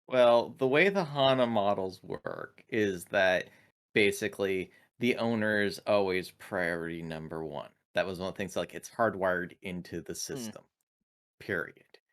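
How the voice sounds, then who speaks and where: This is a man with a deep, low-set voice. He talks casually as a player at a tabletop game. His explanations come haltingly, like unscripted chat.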